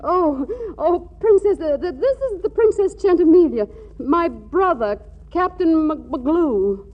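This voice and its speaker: A woman's voice: very high-pitched, animated, measured pace. A woman playing a flustered, scheming young wife in a 1940s radio comedy, stammering and cajoling. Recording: muffled old 1940s radio recording.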